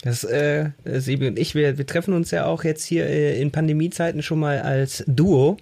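A man's voice: fairly high pitch, fairly even intonation, normal speed, creaky, mellow, no room sound. A man co-hosting a podcast, chatting in a casual, conversational way. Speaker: podcast co-host, drummer. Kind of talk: casual chat.

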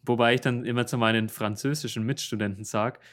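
A young man with a Franconian accent, co-hosting a casual podcast from a home mic over a video call, easygoing and quick to laugh. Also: medium pitch, steady tone, a bit bright. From Franconia, Germany. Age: young adult. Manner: easygoing, laughing, a little hesitant with fillers. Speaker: podcast co-host, language student. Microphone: home mic through an audio interface over video call.

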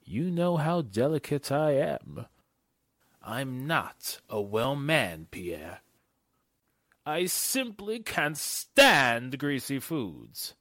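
A man acting a wealthy, imperious and fussy gentleman in a radio drama, with a pompous, haughty delivery. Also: mid-range voice, very animated, drawn-out words, no room sound, studio quality, full character voice. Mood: smug, fussy. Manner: pompous, haughty, wheedling menace. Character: wealthy, imperious, fussy club owner demanding special treatment.

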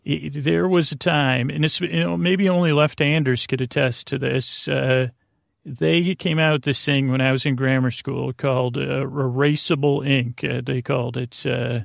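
A man in his forties hosting a sleep podcast, rambling casually, halting, repeating himself and trailing off mid-thought. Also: mid-range voice, steady tone, gravelly, dry recording, clean studio sound. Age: 40s. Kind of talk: rambling casual bedtime monologue.

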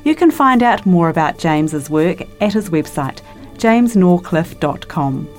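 Casual chat, woman host, lowish voice, gushing, trails off a lot, faint music under. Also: expressive, unhurried, a little mellow. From New Zealand. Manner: chatty, effusive, trailing sentences with tag questions. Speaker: podcast host and interviewer. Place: home interview.

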